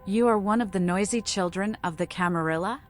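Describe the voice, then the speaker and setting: A woman's voice: medium pitch, brisk, slight background noise, natural voice. A woman voicing a playful, cryptic, deranged female vampire in a video game, with an eccentric and whimsical manner. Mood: cryptic, musing. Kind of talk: modded video game voice acting.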